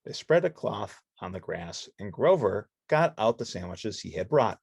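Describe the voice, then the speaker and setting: A woman with a low, husky voice narrates a children's read-along storybook. The recording is close and dry. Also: big pitch swings, moderate pace, darkish tone, no echo.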